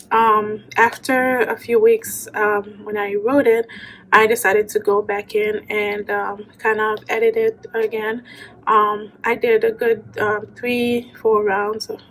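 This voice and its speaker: A woman from Haiti, an author in her thirties, talking casually to a phone camera in a room with bookshelves, pausing often with ums and you-knows. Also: medium pitch, low-quality recording. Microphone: phone camera at arm's length.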